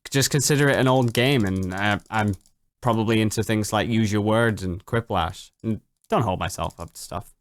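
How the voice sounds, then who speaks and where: A young man chatting casually on a late-night stream, answering viewer questions, swearing freely and jumping up in pitch when excited. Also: moderate pace, no room sound. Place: home at night.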